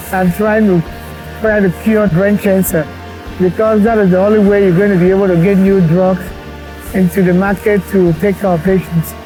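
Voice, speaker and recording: Earnest, high-voiced doctor from Cameroon. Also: male voice, darkish tone, rough recording. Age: 40s. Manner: earnest and reflective, with thoughtful pauses. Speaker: doctor and brain cancer researcher. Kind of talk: documentary interview.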